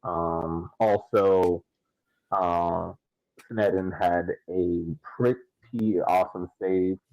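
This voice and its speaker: A man with a low, creaky voice recaps a soccer match casually. He is hesitant and halting, and the recording is dry and a little dull. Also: fairly even intonation, a little mellow, no echo. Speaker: soccer podcast panelist. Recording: dry, slightly muffled lo-fi mic.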